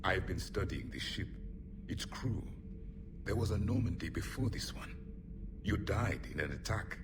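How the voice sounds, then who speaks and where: A deep-voiced, solemn alien warrior speaks as the last survivor of his people. His phrasing is formal and deliberate, in cinematic game style, over very heavy ambient noise. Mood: probing, grave. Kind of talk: cinematic video game dialogue acting.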